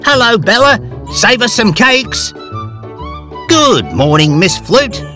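A man's voice: extremely high pitch, sing-song, takes it slowly, bright, forceful tone, faint music behind, pitched above the performer's own voice, heavily acted. Man doing an eager, bouncy storybook hero. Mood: cheery. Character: excitable cheerful young male character.

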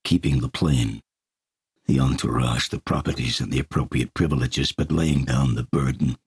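A man's voice: very deep voice, fairly even intonation, moderate pace, breathy edge, no room sound, voice pushed down, heavily acted. An actor playing a bitter old male tycoon who growls and grumbles. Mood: grumbling. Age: older.

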